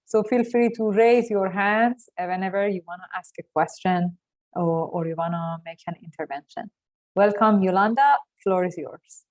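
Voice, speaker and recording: A woman moderating an online workshop, friendly and welcoming, with many filled pauses. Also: medium pitch, a little mellow.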